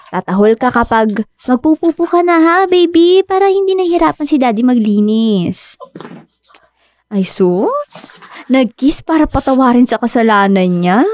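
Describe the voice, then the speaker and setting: A woman's voice: a little high, a little mellow, no room sound. An actress with a Filipino accent playing a devoted, anxious young woman who dotes on her aging dog in an emotive radio drama. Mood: tender. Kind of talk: Tagalog radio drama acting. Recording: music bed behind dry studio voice.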